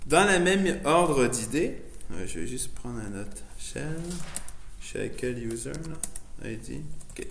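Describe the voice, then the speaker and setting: A man, a non-native French speaker, giving a loose, chatty software demo full of fillers and restarts. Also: medium pitch. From immigrant to Canada. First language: not French. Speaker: presenter showing his editor setup. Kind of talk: casual live software demo. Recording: echoey room, faint noise, keyboard typing.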